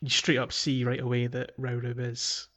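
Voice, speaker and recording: A man co-hosting a podcast, chatting casually about a video game in a halting way, often restarting his sentences. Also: medium pitch, monotone, a bit bright. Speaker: podcast co-host.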